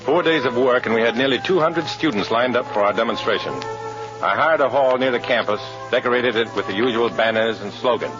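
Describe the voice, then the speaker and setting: An actor playing a cool, scheming undercover agent in a 1950s radio drama, speaking in a clipped, smooth, purposeful way. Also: male voice, mid-range voice, brisk, lightly acted. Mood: businesslike. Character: cool, scheming male undercover agent posing as communist organizer. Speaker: lead actor and first-person narrator. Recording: dull old radio recording, loud music behind.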